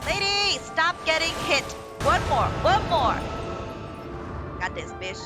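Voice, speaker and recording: A young woman casually commenting as she plays a video game, self-deprecating and rambling, yelling at the screen at times. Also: extremely high pitch, sing-song, slow pace. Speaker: gaming channel host. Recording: game music underneath.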